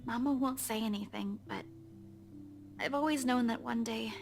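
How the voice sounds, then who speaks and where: Actress as sad, uncertain young woman for visual novel, fairly high voice, halting delivery, guitar music behind. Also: natural voice. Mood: hesitant, sad. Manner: hesitant, halting. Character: worried, downcast young woman facing an uncertain future.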